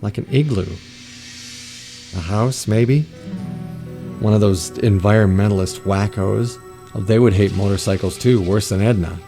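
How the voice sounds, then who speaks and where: An actor playing a henpecked, exasperated husband in an audio drama, grumbling in short bursts. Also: male voice, lowish voice, a little slow, long pauses, music in the background. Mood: grumbling, puzzled.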